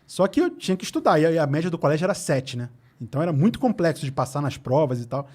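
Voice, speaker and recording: Carioca man, slightly high voice, quick and chatty, podcast guest. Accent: Carioca Portuguese. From Rio de Janeiro, Brazil. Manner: fast, animated storytelling with easy laughs. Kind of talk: casual podcast conversation. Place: podcast studio.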